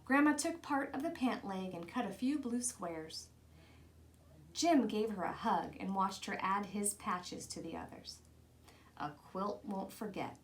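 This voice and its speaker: Animated woman reading a storybook. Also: medium pitch, measured pace. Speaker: storybook reader. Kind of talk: expressive children's read-aloud narration.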